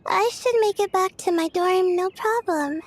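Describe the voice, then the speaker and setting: A woman voicing a sleepy, polite young student astronomer who speaks hesitantly and softly in a game cutscene. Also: extremely high pitch, measured pace, no echo. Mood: reassuring. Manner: hesitant, soft-spoken, with fillers.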